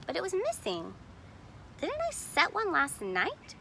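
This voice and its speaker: A woman voicing a busy, forgetful, easygoing grandmother from a storybook, in an expressive, breezy manner. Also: very high-pitched, unhurried, creaky, a little hiss or hum, voice pushed up, exaggerated voice, low-quality recording. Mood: puzzled, self-chiding.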